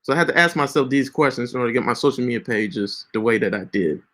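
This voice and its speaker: A man's voice: medium pitch, expressive, fast, a bit bright. A man in his late twenties giving casual, preachy social media advice with lots of fillers and restarts. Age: 20s. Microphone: screen-share recording setup.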